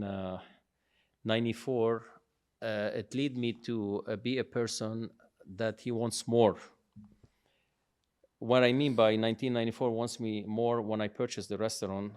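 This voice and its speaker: A man's voice: on the low side, a little mellow. A middle-aged man telling his life story in a casual conversation, proud and rambling, often restarting his sentences. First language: English. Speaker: guest, father of the host.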